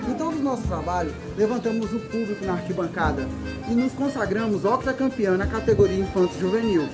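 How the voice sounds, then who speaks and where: A man from Parnaíba, the head of a dance group giving a steady, earnest testimonial. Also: high-pitched, a little fast. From Parnaíba, Brazil. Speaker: cultural group president. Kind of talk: scripted testimonial. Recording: loud music under the voice.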